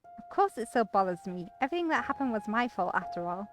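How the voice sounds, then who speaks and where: A woman's voice: mid-range voice, very animated, fast, lightly acted. A woman voicing a guilt-ridden, earnest man while reading a visual novel aloud. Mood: bitter, guilty. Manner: earnest, self-blaming. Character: guilt-ridden, brooding man with injured leg. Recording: music behind the voice.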